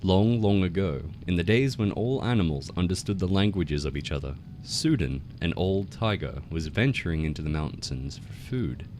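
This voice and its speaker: A man with a very deep voice tells folktales in a measured, theatrical manner. He sounds like a bard reading from a script, with some background noise behind him. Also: expressive, a little mellow. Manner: theatrical, measured bardic delivery. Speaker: storyteller.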